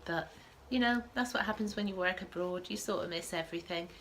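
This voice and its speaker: Woman host, lowish voice, sniffling with a cold, chatty and self-correcting. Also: brisk, a bit bright, slight background noise. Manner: chatty, rambling, self-correcting with frequent ums. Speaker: podcast host. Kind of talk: casual knitting podcast chat. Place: at home.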